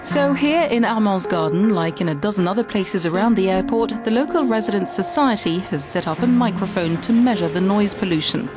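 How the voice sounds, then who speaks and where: Female reporter for scripted news narration, medium pitch, articulate delivery, music underneath. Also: expressive, fairly quick, few pauses. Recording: voice over music bed.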